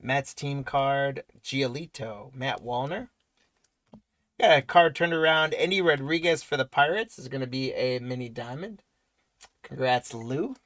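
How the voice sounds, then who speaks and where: A man hosting a live trading card break, rattling off player names and congratulating viewers, his voice going hoarse. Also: medium pitch, fairly bright tone, dry recording. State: voice weakening, losing it.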